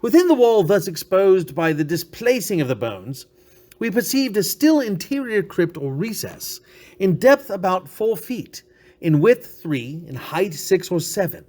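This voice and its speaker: Animated man reading a story dramatically. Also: high voice, a little slow. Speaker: story reader. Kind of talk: dramatic literary narration.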